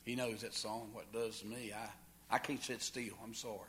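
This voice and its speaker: A man's voice: on the low side, little pitch movement, moderate pace, a bit bright, slight background noise. A man pastor leading a church service, fervent and exclaiming, calling for testimonies and praise. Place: church sanctuary.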